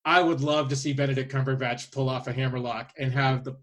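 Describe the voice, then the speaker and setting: A man's voice: medium pitch, fairly even intonation, fairly quick, a bit bright. A man co-hosting a podcast, chatting casually and informally with a fan's enthusiasm. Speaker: podcast co-host. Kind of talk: casual panel chat.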